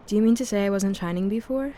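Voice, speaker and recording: A woman's voice: mid-range voice, quick pace, mellow, lightly acted. A woman playing a teasing, dreamy young sweetheart in an amateur radio drama.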